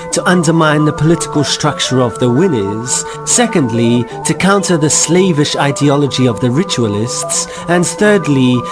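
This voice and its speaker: A man giving wry, measured scripted narration for an audio drama. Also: fairly high pitch.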